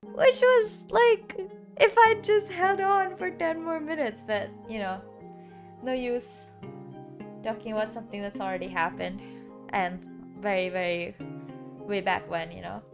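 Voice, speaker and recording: A woman's voice: fairly high pitch, unhurried, pauses often. A young woman streamer with a dry, sick-sounding throat, chatting casually and rambling with lots of filler words. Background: background music.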